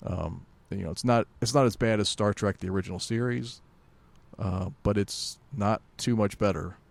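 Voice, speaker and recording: A man co-hosting a podcast in casual pop-culture chat, halting and rambling as he corrects himself. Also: fairly low pitch, vocal fry. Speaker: podcast co-host.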